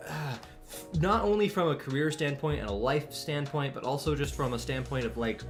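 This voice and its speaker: A man in his twenties chatting casually on a live art stream, rambling with many fillers and restarts. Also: mid-range voice. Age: 20s. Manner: rambling, frequent fillers and restarts, chuckles often. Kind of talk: casual live-stream chat while drawing. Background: faint background music.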